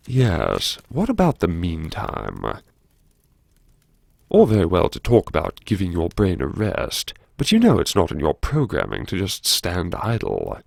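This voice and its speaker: An actor playing a wry, brooding academic who muses to himself in old-fashioned, theatrical, self-mocking phrases, as in an audiobook drama. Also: male voice, low voice, gravelly, no echo, full character voice. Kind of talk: first-person audiobook drama monologue, talking to himself.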